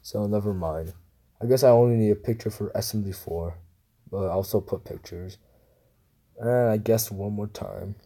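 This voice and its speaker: A young man reading guessing-game questions aloud and answering them in a low-key, mumbled way, recorded on a phone. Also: moderate pace, mellow. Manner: mumbled, low-key answers, livelier when starting a new round. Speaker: gamer playing a guessing game. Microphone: phone screen recorder.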